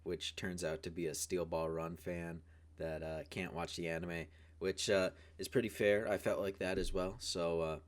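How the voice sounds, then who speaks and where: A man's voice: low voice, level tone, normal speed. A man hosting a video with casual commentary, talking in a rambling, informal, hedging way. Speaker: video host.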